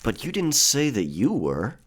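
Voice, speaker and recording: A man's voice: medium pitch, huge pitch swings, a little slow, breathy edge, full character voice. A man playing a terse, watchful, sceptical pirate in an audio drama, with short questioning lines. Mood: probing. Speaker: voice actor in a full-cast audio drama.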